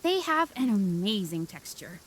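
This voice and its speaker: A woman's voice: medium pitch, very animated, unhurried, a bit bright, slightly rough, heavily acted, poor audio. A woman playing a polite, eager young restaurant waitress in an anime game, with a singsong sales manner.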